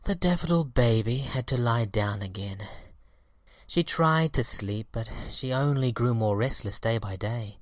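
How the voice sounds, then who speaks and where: A man reading a children's story aloud in an expressive, deliberate storytelling manner. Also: mid-range voice, dry recording, clean studio sound. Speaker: audiobook reader.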